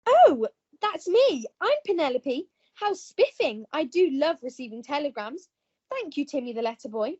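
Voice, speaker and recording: A woman's voice: high-pitched, big pitch swings, moderate pace, darkish tone, dull audio. A young woman playing a plucky, gushing, posh heroine with old-fashioned jolly phrasing in a melodramatic radio play. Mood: gushing, excited.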